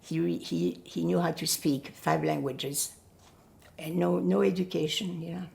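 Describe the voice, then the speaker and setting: An older woman whose first language is Yiddish, recalling her family in an oral history interview, pausing often to search for words. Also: on the low side, fairly even intonation, vocal fry, a little mellow. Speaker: Holocaust survivor giving testimony.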